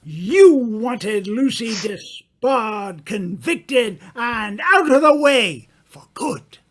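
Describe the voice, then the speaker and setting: A man acting in an old-time radio mystery as a suave, smug gentleman sleuth, with a theatrical, accusing delivery. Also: extremely high pitch, expressive, extremely slow, full character voice. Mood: relentless, triumphant.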